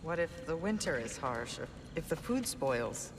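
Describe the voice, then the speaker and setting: A woman playing a proud, stern middle-aged noblewoman in a fantasy video game, speaking in a formal, firm, measured manner. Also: sits low, sing-song, background noise. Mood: firm, guarded.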